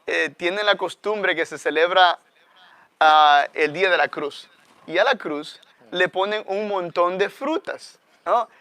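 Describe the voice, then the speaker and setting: A middle-aged man with a Salvadoran accent, speaking as a guest friar. His voice sits a little high and sounds smooth and clear. He explains things earnestly, with frequent fillers, in a dry, close recording. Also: unhurried, bright, forceful tone, dry recording. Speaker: guest friar, parish priest. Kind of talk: conversational religious talk.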